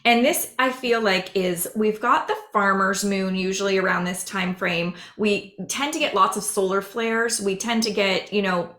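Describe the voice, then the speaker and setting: A woman psychic medium chatting on a podcast with a hoarse, raspy voice, explaining earnestly at length. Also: mid-range voice, a bit bright, echoey room. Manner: rambling, earnest, drawn-out explaining. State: hoarse, losing her voice. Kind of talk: casual podcast chat.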